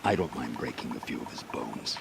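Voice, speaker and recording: Very deep, gravelly male thug. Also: lively intonation, a little fast, noisy background, heavily acted. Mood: mocking menace. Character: menacing male thug interrogator, sarcastic and cruel. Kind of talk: video game acting.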